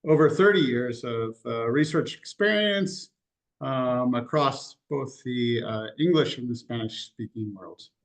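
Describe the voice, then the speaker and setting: A man from the United States, presenting market research in a webinar, relaxed, with filler sounds between phrases. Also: mid-range voice, measured pace.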